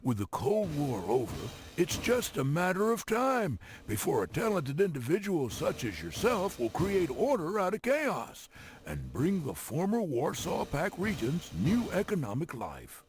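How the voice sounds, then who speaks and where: An actor playing a blustery, folksy, wisecracking mission briefer in theatrical, grandiose train game cutscenes. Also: male voice, a little high, huge pitch swings, unhurried, slight background noise. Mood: grand.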